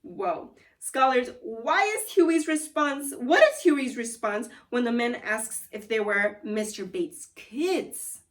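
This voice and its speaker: A woman schoolteacher reading a story aloud to children and pausing to prompt them with encouraging questions. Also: on the high side, expressive, far from the mic. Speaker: elementary school teacher.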